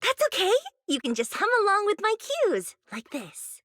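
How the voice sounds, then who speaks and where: A woman voicing a playful, impulsive young female pop star in a video game, expressive and teasing with girlish reactions. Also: extremely high pitch, moderate pace, no room sound, studio quality, exaggerated voice. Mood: pleading, cheerful. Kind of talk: animated video game voice acting.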